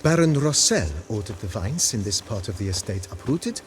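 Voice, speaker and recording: An actor playing a courteous, proud majordomo in a video game, giving a guided tour in formal, precise, politely ornate speech. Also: male voice, lowish voice, big pitch swings, normal speed. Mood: courteous, refined. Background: music.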